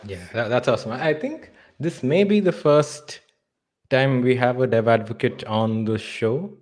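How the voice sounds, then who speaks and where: A man's voice: medium pitch, a little slow, a little mellow. A man hosting a weekly live coding stream, upbeat and welcoming as he introduces his guest. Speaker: live-stream host, developer advocate.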